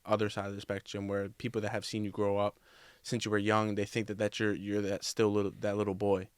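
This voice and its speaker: A man's voice: on the low side, brisk, clear, resonant tone, no echo. A man in his twenties, a podcast guest, talking in a candid, reflective way in a casual interview conversation. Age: 20s. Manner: reflective, candid, speaks in a steady even tone.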